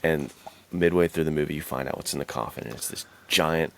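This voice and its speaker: A man's voice: rumbling low, brisk, creaky, fairly bright tone. A man co-hosting a podcast in casual, enthusiastic chat, retelling things with slangy emphasis. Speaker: podcast co-host.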